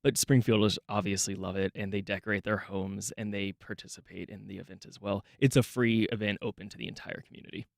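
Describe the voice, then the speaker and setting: A man speaking as a guest on a radio talk show, conversational, often pausing with um and restarting. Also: fairly low pitch, fairly even intonation, creaky, dry recording, clean studio sound. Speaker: guest, neighborhood nonprofit director. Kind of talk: radio interview.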